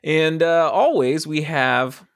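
A man hosting a casual podcast, jokey and animated, laughing often. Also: a little high, slow, clear, resonant tone, no echo, studio quality. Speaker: podcast host.

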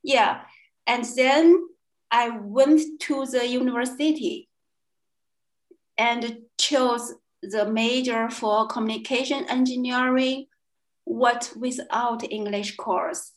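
Woman, young adult, Chinese accent, interview guest; slow halting delivery, fillers and restarts, highish voice. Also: fairly even intonation, rough recording. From China. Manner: hesitant, many fillers and restarts, laughs often. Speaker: guest, language student. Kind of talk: casual interview chat.

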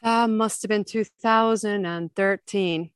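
Soft-spoken woman reading poetry. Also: mid-range voice, fairly even intonation, smooth, dry recording, clean studio sound. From Finland. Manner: slow, measured recitation with soft laughs in between. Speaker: guest poet. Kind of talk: reading her poems aloud and chatting.

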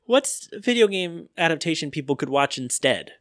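A man's voice: on the high side, expressive, moderate pace, a bit bright, no room sound, studio quality. A man hosting a podcast in a casual conversational style. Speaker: podcast host. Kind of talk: casual chat.

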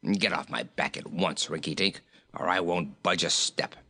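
A narrator voicing a gruff, sulky talking male goat from a storybook, growling curt complaints. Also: sits low, moderate pace, sharp tone, voice pushed down, heavily acted. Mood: sulky, defiant.

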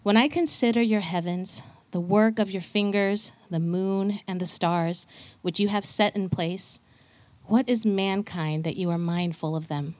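Female reader, mid-pitched, measured and solemn scripture reading. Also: darkish tone, dry recording. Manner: slow, emphatic, solemn delivery.

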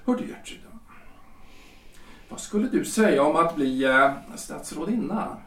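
A man playing a hesitant, self-important husband in his forties, a lawyer and politician, speaking formally and haltingly in a period audio drama. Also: fairly high pitch, lively intonation, a bit bright, far from the mic. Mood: pleased, self-important. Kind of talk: period stage-play audio drama acting. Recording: roomy, echoing, off the microphone.

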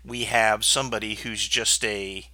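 A man's voice: lowish voice, fairly even intonation, a little slow, pushed and bright, dry recording. A man hosting his own podcast, stuffed up from sinus trouble, talking bluntly and rambling with plenty of fillers. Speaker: podcast host. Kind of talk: casual solo podcast talk.

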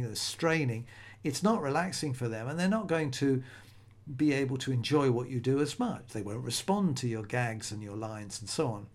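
Chatty man hosting a podcast. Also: medium pitch. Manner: conversational, rambling, self-correcting restarts. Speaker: podcast host. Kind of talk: solo podcast monologue.

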